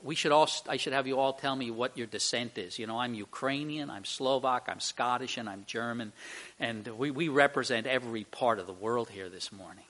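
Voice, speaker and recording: A man's voice: mid-range voice, normal speed, a bit bright. A man preaching an expository sermon as a pastor, speaking earnestly and emphatically, with repeated phrases and rhetorical questions.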